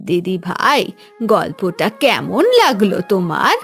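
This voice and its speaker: A woman plays a kindly grandmother narrating a cartoon fairy tale. She goes slowly with plenty of pitch movement, over faint music. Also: very animated, vocal fry, a bit bright, heavily acted. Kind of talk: scripted children's storytelling.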